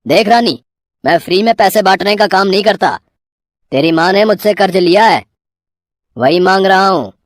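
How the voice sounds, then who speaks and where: A woman voicing a menacing male moneylender with a gruff, intimidating manner. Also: mid-range voice, animated, normal speed, pauses often, no room sound, studio quality, voice pushed down, natural voice. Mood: stern. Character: menacing male village moneylender, harsh and threatening.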